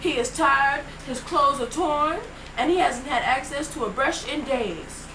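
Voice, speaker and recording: Teen girl narrating a school puppet show, high voice, faint hiss. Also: a little slow.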